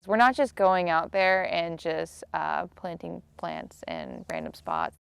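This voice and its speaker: A woman's voice: lowish voice, huge pitch swings, slow pace, gravelly, music in the background. A woman in her twenties from Maryland, an education officer, explaining a planting process step by step. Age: 20s. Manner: demonstrating, explaining steps. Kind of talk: documentary interview, demonstration.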